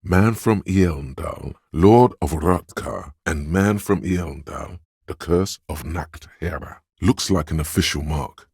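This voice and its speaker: A wry, watchful inner sense of perception from a game, speaking in an extremely low, heavily husky voice. He notes small details in measured, dry observations, close to the mic with no room sound. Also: a little mellow, no echo, exaggerated voice. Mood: observant. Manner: measured, observant, dryly descriptive. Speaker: voice of a detective's perception skill. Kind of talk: scripted game voice lines.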